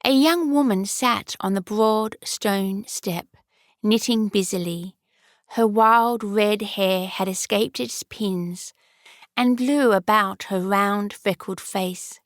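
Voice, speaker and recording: A woman narrating a fairy-tale audiobook in a measured storytelling manner. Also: medium pitch, no echo, clean studio sound.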